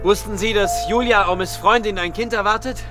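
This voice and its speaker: An actor playing an insistent military investigator in a radio drama, asking formal, probing questions with urgency. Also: male voice, high-pitched, moderate pace, music in the background, lightly acted. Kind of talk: naturalistic German radio drama acting.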